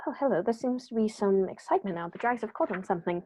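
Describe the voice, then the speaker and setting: A woman playing a calm, clever male detective in an old-style radio play, measured and precise as she works things out aloud. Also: mid-range voice, close to an everyday voice, poor audio. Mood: calm, deductive. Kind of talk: 1930s radio play acting.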